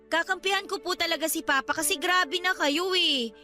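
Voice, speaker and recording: An earnest young woman, a protective daughter in a radio drama. Her voice is high and clear-edged, with faint music behind it. She pleads respectfully but holds firm. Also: normal speed, pushed and bright. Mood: pleading, defensive. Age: young adult. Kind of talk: Tagalog radio drama acting.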